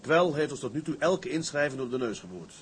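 A daring, stubborn sea captain played by a man with a medium-pitched male voice. He is firm and matter-of-fact in a radio drama, with clear ambient noise around him. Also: expressive, fairly quick, lightly acted. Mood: stubborn, determined.

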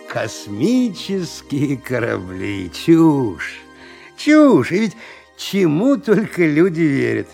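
A man's voice: fairly high pitch, darkish tone, full character voice. An actor playing a pompous, irritable middle-aged father and television commentator in a radio play, blustering and stammering. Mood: scornful, pompous.

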